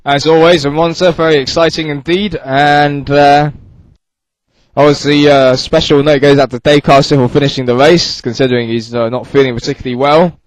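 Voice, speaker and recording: A man commentating on an online race and interviewing drivers, speaking in a slightly halting, conversational way. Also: fairly high pitch, steady tone, dry recording, low-quality recording. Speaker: sim racing race commentator. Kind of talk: live sports commentary and interviewing.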